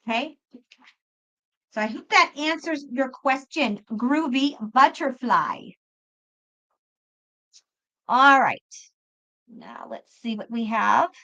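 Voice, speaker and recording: A woman's voice: high voice, animated, slow pace, pauses often, a bit bright. A woman hosting a live tarot reading, chatting casually with viewers in an emphatic way and often repeating her phrases. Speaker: host and tarot reader. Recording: dull lo-fi live stream audio.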